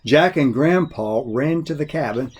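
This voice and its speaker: A man reading a children's folk tale expressively, in a folksy, deliberate storyteller's manner. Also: fairly high pitch, lively intonation, a little mellow, husky. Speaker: story reader.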